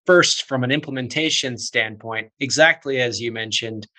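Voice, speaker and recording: Podcast host guy, bright forceful voice, chatty, says "right?" a lot. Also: mid-range voice, normal speed. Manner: conversational, leans on "you know" and "right?". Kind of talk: podcast interview.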